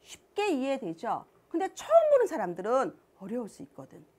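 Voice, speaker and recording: A woman's voice: a little high, wildly swooping pitch, fairly quick, darkish tone. A woman education coach with a Seoul accent, explaining to parents in a chatty way and asking rhetorical questions. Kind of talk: answering a parent's question in a talk.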